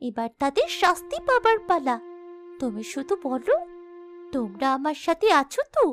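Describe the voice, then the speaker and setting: A woman's voice: high-pitched, very animated, moderate pace, faint music behind. A woman voicing a brave, earnest young princess in a melodramatic fairy-tale cartoon. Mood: reassuring, determined. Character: brave young princess, tender daughter, resolute about punishing wrongdoers.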